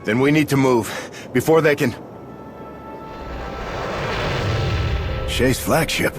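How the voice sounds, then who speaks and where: A man's voice: mid-range voice, normal speed, natural voice. A man playing a principled military leader in a video game cutscene, direct and serious. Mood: urgent. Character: principled male military leader, firm but caring. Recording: loud music behind. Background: music.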